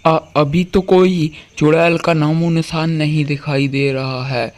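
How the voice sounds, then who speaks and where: A man's voice: a little high, flat delivery, unhurried, lightly acted. A man playing a timid but crafty village woodcutter in a cartoon story. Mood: nervous.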